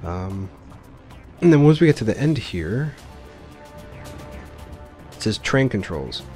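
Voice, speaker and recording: A man's voice: lowish voice, sing-song, takes it slowly, a little mellow, soft background music. A man giving casual, informal let's play commentary, explaining his choices as he plays. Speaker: gaming video host.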